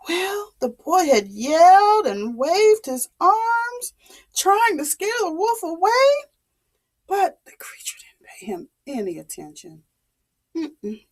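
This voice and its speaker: A woman's voice: a little high, creaky. A woman telling a story to young children in a dramatic way, asking questions and repeating things to explain them.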